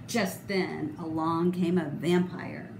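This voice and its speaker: A middle-aged woman reading a children's picture book aloud expressively, with deliberate, dramatic emphasis. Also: lowish voice, level tone. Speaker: storybook reader. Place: indoor room, voice a little echoey. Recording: roomy echo, clear background noise.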